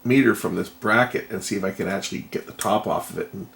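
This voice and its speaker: A man giving casual hands-on repair commentary, thinking aloud in a tentative, unhurried way. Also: on the low side, gravelly, fairly bright tone. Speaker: repair vlogger. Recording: noticeable background noise.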